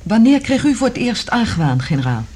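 Casting: suspicious scientist in a radio play, high-pitched, breathy, lively inflection, tired and guarded. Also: female voice, brisk. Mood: wary, questioning. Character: weary, wary young female scientist. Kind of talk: Dutch radio drama acting.

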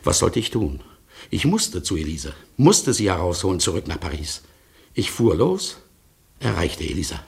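An actor playing a haunted, grieving man who recounts his past gravely and dramatically, with a husky voice, in a radio drama. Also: sits low, very animated, moderate pace, full character voice. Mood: agitated recounting. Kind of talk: German radio drama acting, narration.

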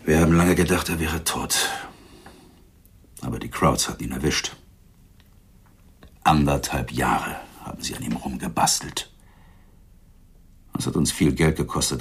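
Gravelly, very deep-voiced menacing gangster. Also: male voice, a bit bright, exaggerated voice. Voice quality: gravelly, husky rasp. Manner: threatening, tough street slang. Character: menacing, boastful male gangster, war veteran with grudge. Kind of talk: radio crime drama acting.

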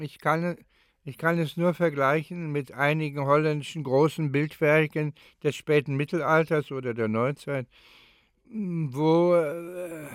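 An actor playing a pensive, grave man who gives halting, deliberate, thoughtful testimony as if speaking spontaneously. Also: a little high, clean tone, no echo, clean studio sound. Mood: searching, intense. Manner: halting, deliberate, thoughtful, with long drawn-out phrases. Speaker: performer in a radio play recording session. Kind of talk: re-enacting spontaneous reflective testimony.

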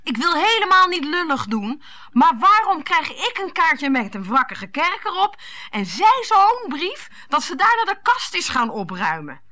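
Radio play: jealous, sarcastic wife, bright high voice, blunt and indignant. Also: female voice, sing-song, a little slow, full character voice.

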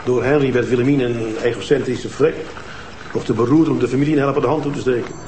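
An actor playing a calm, matter-of-fact police detective. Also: male voice, medium pitch, fast talker, reverberant, slight background noise, lightly acted. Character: dry, calm middle-aged police detective. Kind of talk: TV crime drama acting.

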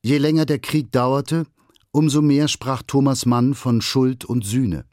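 A man's voice: medium pitch, steady tone, a little mellow, no echo. Dry-miked male documentary narrator. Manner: measured, factual.

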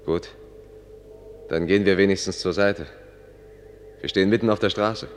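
A man's voice: low voice, moderate pace, over music, natural voice. An actor playing a firm, urgent escort hurrying his master along, clipped and deferential, in a German radio play. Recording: clear vehicle noise behind.

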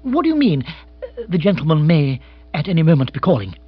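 A man's voice: a little high, very animated, fairly quick, breathy edge, lo-fi sound, faint music behind, pitched above the performer's own voice. A man voicing an agitated, petulant young English lord in a comic storybook, flustered and exclamatory in upper-class slang. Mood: agitated, panicky.

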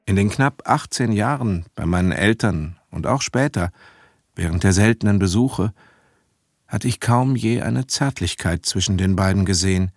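A man's voice: low-pitched, normal speed, vocal fry, dry recording, clean studio sound. An actor narrating a radio drama as a grown son soberly recalling his parents. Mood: somber, reflective.

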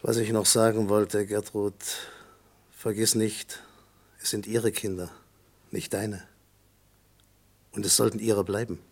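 An actor playing a gruff, sober-minded husband in a German radio play, gravelly, husky and matter-of-fact. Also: male voice, lowish voice, level tone, slow, long pauses, exaggerated voice. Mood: sober, reflective.

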